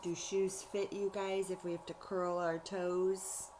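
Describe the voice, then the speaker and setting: A woman reading a storybook aloud like a teacher, pausing to explain things directly to young listeners. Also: lowish voice, flat delivery, darkish tone, slight background noise, low-quality recording. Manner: explanatory, addresses children directly.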